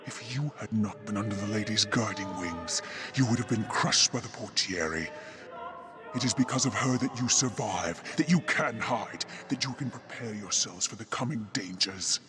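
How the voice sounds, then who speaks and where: A man's voice: on the low side, lively intonation, normal speed, over music, lightly acted. An actor playing a fanatical cult herald in a fantasy game, preaching devotion to his lady in grand, zealous oratory. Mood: indignant, zealous. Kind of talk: dramatic fantasy game voice acting.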